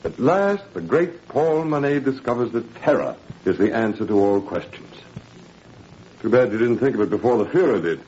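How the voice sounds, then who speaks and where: An actor playing a smug, menacing Nazi official with sneering, mock-courteous manners in 1940s radio drama style. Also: male voice, mid-range voice, animated, moderate pace, darkish tone, natural voice. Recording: muffled, hissy 1940s radio recording.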